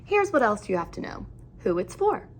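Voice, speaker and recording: Female storyteller for children's read-aloud, very expressive swooping delivery, emphatic phrasing, noticeable background noise. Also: mid-range voice, normal speed.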